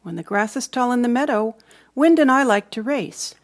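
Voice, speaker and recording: A woman's voice: fairly high pitch, animated, moderate pace. Woman reading a children's story expressively. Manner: storytelling with dramatic emphasis and hushed moments. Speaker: storybook reader. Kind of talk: expressive children's read-aloud narration.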